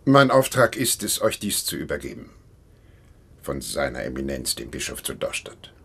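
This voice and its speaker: An actor playing a dutiful, blunt medieval bishop's messenger in a German radio play, gruff, formal and insistent. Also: male voice, rumbling low, big pitch swings, moderate pace, fairly bright tone, full character voice. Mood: official.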